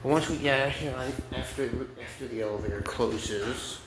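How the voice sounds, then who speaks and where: A man filming his own elevator rides and chatting casually behind a handheld camera, offhand and excitable, swearing now and then. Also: mid-range voice, moderate pace. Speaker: elevator fan vlogger. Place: parking garage elevators and decks, indoors and out. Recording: echoey with loud background noise.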